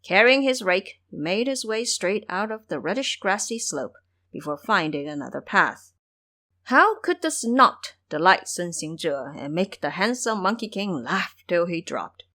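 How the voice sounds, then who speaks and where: A woman's voice: fairly low pitch, big pitch swings, a little slow, sharp tone. A woman narrating a scripted fantasy adventure as a storyteller.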